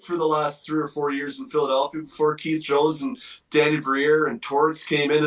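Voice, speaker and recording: A man's voice: on the high side, a bit bright, distant mic. A man giving emphatic sports commentary as a guest analyst, thinking aloud and repeating phrases as he builds his point.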